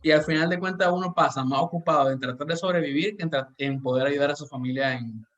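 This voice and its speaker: A man's voice: on the high side, fast talker, a bit bright, muffled recording. Earnest Central American man talking. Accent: Central American Spanish. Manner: earnest, reflective, frequent restarts. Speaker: podcast host. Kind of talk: casual podcast conversation.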